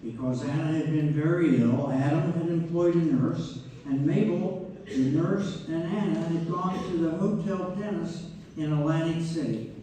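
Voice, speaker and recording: A man's voice: a little high, unhurried, warm tone, lightly acted. A man playing a genial narrator who guides an audience through a family history in scripted stage narration. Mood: explanatory. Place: community theater hall. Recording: very echoey, distant mic, faint noise.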